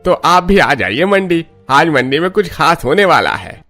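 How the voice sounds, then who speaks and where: Man playing a bright-voiced, proud older farmer. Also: fairly high pitch, sing-song, measured pace, full character voice. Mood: teasing. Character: proud, polite older farmer, father-in-law of the family. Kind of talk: cartoon story voice acting. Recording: music behind the voice.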